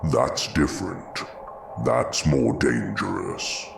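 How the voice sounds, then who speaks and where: Solemn creature, slow delivery, swooping intonation, brooding, gravelly, audio drama, noisy ambience. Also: male voice, a bass voice, big pitch swings, full character voice. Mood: haunted, mournful. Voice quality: gravelly, breathy at times. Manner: slow, solemn, terse phrases.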